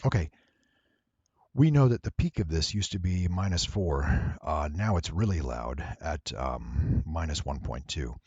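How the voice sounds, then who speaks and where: A man with a low, slightly rough voice walks through a tutorial. He explains deliberately and with emphasis in a very dry, close recording. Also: expressive, a little mellow, no room sound, muffled recording. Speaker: voice actor giving an audio editing tutorial. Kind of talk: explanatory screen-recording narration.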